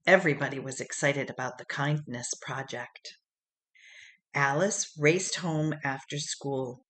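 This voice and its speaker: Female picture-book narrator, lower-pitched, slow and expressive delivery. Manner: unhurried, expressive storytelling. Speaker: storybook reader.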